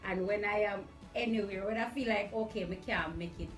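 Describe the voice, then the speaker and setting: A woman's voice: mid-range voice, brisk. A woman with a Jamaican accent gives casual testimony and scripture, emphatic and repetitive, with some Patois. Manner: emphatic, repeats phrases, mixes Patois with English. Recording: echoey room, distant mic, music underneath.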